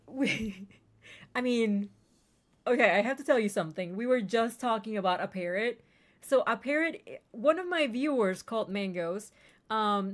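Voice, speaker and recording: A woman streamer whose first language is not English, chatting excitedly with raiders and telling stories quickly. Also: medium pitch. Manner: excitable, bubbly, quick storytelling. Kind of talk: casual stream chat.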